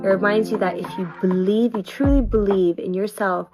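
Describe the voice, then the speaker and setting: A woman's voice: medium pitch, fairly even intonation, fairly quick. A woman in her thirties from Canada, looking back on a performing tour in a heartfelt, motivational personal vlog. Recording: music playing underneath.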